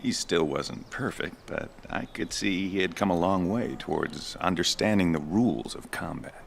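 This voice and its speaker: Gravelly, very deep old veteran pilot. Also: male voice, slight background noise. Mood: reflective, resigned. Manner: measured, reflective. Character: weary old veteran ace pilot and former flight instructor, resigned. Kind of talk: documentary interview acting.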